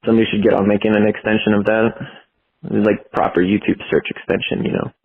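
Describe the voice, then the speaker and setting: Over a narrow phone line, a young man with a low, husky voice rambles through a casual voicemail. He sounds hesitant and informal, working out his thoughts as he goes. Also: flat delivery, quick pace. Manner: hesitant, informal, thinking aloud. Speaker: voicemail caller.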